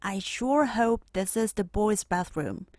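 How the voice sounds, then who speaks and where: A woman's voice: mid-range voice, lively intonation, slow pace, a little mellow, dry recording, clean studio sound. A woman voicing a young schoolboy in a storybook, eager and anxious, with an earnest childlike manner. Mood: worried. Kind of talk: storybook character voice.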